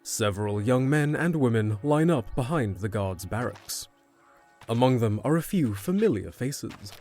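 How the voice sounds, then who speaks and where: Male narrator for fantasy audio drama: mid-pitched, scripted storytelling delivery over soft music. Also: lively intonation, measured pace.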